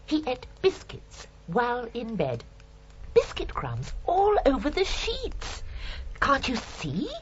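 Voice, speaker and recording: A woman's voice: high voice, wildly swooping pitch, takes it slowly, exaggerated voice. Prim, swooping, murderous British landlady. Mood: indignant, exasperated. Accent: British English. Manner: prim, indignant, chillingly matter-of-fact. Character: fussy, house-proud murderous landlady, obsessed with rules and tidiness. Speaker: actor in a radio crime drama. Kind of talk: British radio drama acting. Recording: dull radio recording with faint hiss.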